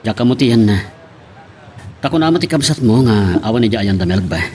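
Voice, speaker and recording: A man's voice: on the low side, expressive, a little fast, warm tone, natural voice. A man playing a stern, suspicious middle-aged father in an Ilocano audio drama, with an Ilocano accent. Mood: questioning.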